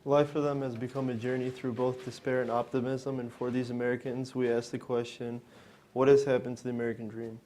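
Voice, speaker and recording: A man's voice: medium pitch, little pitch movement, brisk, mellow, slight background noise. A young man, a student presenter, reading a scripted readers theater narration. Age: young adult. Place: indoor college library event.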